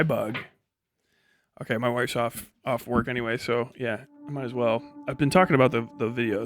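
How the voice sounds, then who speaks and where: A man's voice: medium pitch, a bit bright. A man hosting a livestream, chatting casually and answering viewers in a blunt, heavily profane way. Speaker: livestream host and commentator.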